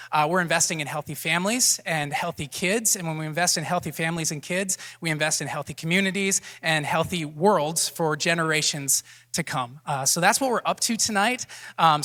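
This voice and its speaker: A man in his thirties welcomes everyone from the stage as the church's pastor, bubbling over with excitement and tossing in quick jokes. His voice sits a little high and rings bright and forceful. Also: long reverb tail. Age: 30s. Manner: energetic, jokey, gushing with excitement.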